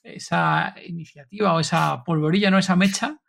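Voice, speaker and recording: A man's voice: on the high side, steady tone, normal speed, gravelly, a bit bright. A man with an accent from Spain hosting a podcast in an informal, enthusiastic, chatty way. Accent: Spanish from Spain. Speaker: podcast host. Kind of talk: casual podcast announcements and chat.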